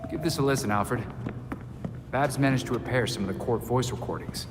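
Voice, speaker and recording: An actor playing a wry, resolute young vigilante leader in a video game, with an American accent and a confident delivery. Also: male voice, medium pitch, a little fast, natural voice. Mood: wry, assured. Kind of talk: video game cutscene acting. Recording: long reverb, music behind.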